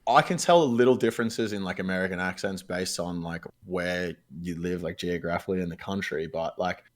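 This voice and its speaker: A man's voice: low-pitched, brisk. An Australian man hosting a podcast, chatting casually with a laid-back manner, swearing and calling his guest "dude". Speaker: podcast host. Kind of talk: casual chat.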